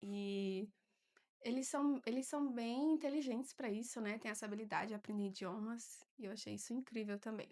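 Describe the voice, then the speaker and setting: A young woman, a guest on a podcast, speaking warmly but hesitantly with many fillers. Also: a little high, brisk. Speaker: guest volunteer. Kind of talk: casual interview.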